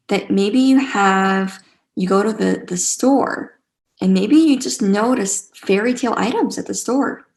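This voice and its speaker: Creaky-voiced woman guiding an online class. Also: a little high, rough recording. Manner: gentle, encouraging, repeats phrases for emphasis. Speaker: teacher leading an online spiritual class. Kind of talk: casual guided teaching to a live online group.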